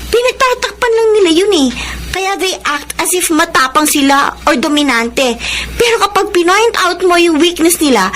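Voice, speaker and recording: Sassy, protective Filipino schoolgirl, very high voice, self-assured, for radio drama. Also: a bit bright, a little hiss or hum, full character voice. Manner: sassy, self-assured, mixes English into Tagalog. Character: feisty, protective rich teenage girl student.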